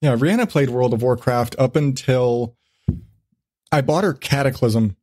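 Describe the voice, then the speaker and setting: A man's voice: mid-range voice, unhurried, darkish tone, no echo, studio quality. A man hosting a podcast in casual, profane chat, rambling along with irreverent, self-mocking asides. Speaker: podcast host.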